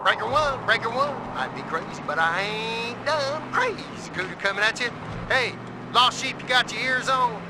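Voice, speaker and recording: An actor playing an excitable, friendly country mechanic with a folksy Southern US accent in a game. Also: male voice, very high voice, normal speed, background noise. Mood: upbeat. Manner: folksy, exuberant. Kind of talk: game character acting with CB radio chatter.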